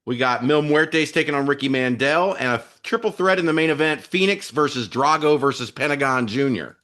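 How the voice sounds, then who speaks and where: A man with an average-pitched voice hosts a wrestling podcast. He talks informally to his co-host and his listeners, chummy and loose, with the odd mild swear. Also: a little fast, a bit bright. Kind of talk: casual wrestling podcast chat.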